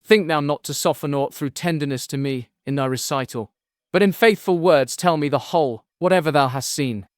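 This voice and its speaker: A man's voice: on the high side, brisk, clear, resonant tone, no echo, studio quality, close to an everyday voice. A man playing an earnest young prince seeking his lost father, in a formal, solemn audiobook drama of epic verse. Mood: urgent pleading. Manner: formal, solemn, pleading declamation.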